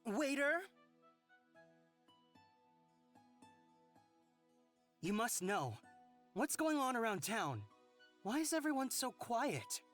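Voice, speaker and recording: A woman voicing a bold, curious and flirty traveller in a fantasy visual novel, expressive and teasing. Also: a little high, unhurried, soft background music. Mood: brisk, insistent.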